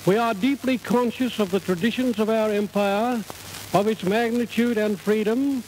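A man delivering a solemn, deliberate ceremonial speech at an outdoor public event in an oratorical manner. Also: high voice, a little mellow, poor audio. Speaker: education official giving an address. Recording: hissy 1950s 78rpm record.

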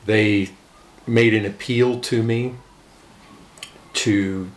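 A man's voice: lowish voice, steady tone, extremely slow, echoey room, a little hiss or hum. A middle-aged American man, a pastor, talking earnestly in an interview in a living room. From United States. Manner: earnest, emphatic, gestures with open hands. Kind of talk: documentary interview.

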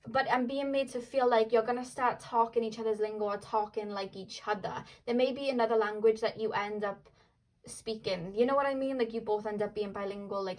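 A woman's voice: a little high, rough recording. A young woman with a Welsh accent giving a casual tarot reading, chatting in a rambling way full of fillers and "like". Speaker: tarot reader.

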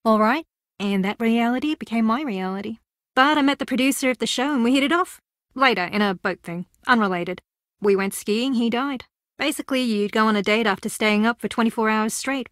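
A woman's voice: fairly high pitch, fairly quick, a bit bright, no echo, studio quality, natural voice. A woman voicing a scheming, theatrical young female reality-show contestant in a video game, sassy, boastful and combative. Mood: wry, casual.

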